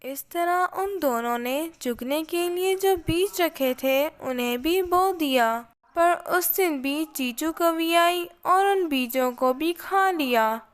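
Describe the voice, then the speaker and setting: High-pitched, slow-paced woman storyteller. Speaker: narrator. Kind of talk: scripted children's story narration.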